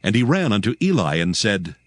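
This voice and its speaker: Lowish-voiced, expressive male scripture narrator. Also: a little fast, no echo.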